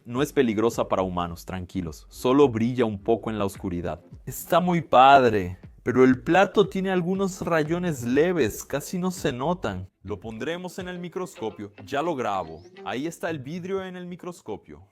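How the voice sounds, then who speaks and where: A man hosting a casual science explainer, chatty and lively with quick exclamations. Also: mid-range voice. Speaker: science video host.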